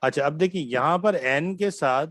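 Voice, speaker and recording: A man's voice: a little high, moderate pace, a bit bright, dry recording. Pakistani man teaching French, dry sound. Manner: explains patiently, switching between Hindi-Urdu and English, many fillers. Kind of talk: online class lesson.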